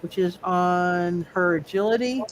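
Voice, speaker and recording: A man narrating a tabletop roleplaying game as game master, speaking haltingly and often repeating his words. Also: medium pitch, a little hiss or hum.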